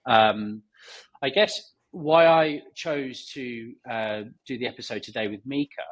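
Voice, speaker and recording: A man's voice: medium pitch, unhurried, poor audio. A man hosting a podcast, opening the interview in a rambling way with many fillers. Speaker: podcast host.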